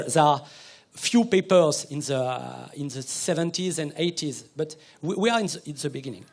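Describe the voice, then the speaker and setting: A man answering questions on a conference panel, his halting and full of restarts and repeated words. His voice sits fairly high for a man. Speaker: researcher presenting a project.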